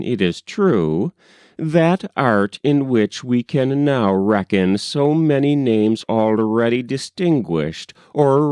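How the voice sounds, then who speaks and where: Male audiobook reader, slow and measured, lively intonation, close dry sound. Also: on the low side, no echo. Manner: measured, expressive reading. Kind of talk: literary story narration.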